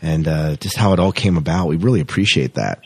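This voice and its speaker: A man's voice: very deep voice, fairly quick, a little mellow, husky. A man hosting a podcast interview in a casual, chatty style.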